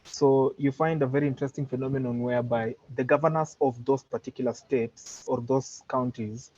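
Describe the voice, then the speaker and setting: Husky Kenyan man on a dull online call. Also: mid-range voice, steady tone, darkish tone. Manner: deliberate, formal wording with repeated words and illustrative examples. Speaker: participant commenting in an online training session. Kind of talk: extended spoken opinion in an online seminar.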